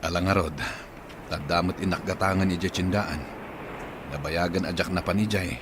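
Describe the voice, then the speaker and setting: A man playing a low-pitched, plain-spoken Ilocano islander. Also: fairly even intonation, moderate pace, background noise, close to an everyday voice. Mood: matter-of-fact. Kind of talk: Ilocano radio drama acting.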